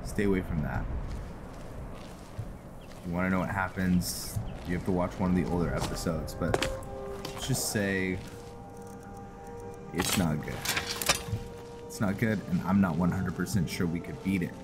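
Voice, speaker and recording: A man giving casual gameplay commentary with some roleplay narration, muttering to himself in a halting, low-key way. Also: steady tone. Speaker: gaming video host. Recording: music behind the voice.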